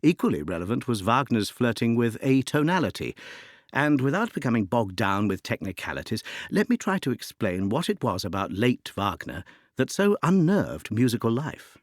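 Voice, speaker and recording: A man's voice: mid-range voice, big pitch swings, normal speed, dry recording, studio quality. A man reading a scripted educational introduction to music as narrator, articulate and expository, with rhetorical turns of phrase.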